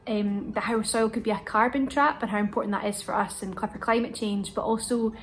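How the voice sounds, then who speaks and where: Woman, medium pitch, flat intonation, reflective interview talk. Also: brisk. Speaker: project team member.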